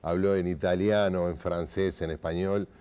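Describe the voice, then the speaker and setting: Deep, mellow Uruguayan male host. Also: steady tone, moderate pace, clean tone. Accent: Uruguayan Spanish. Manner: chatty, hesitant with fillers and restarts. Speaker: TV interview host. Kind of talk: conversational TV interview.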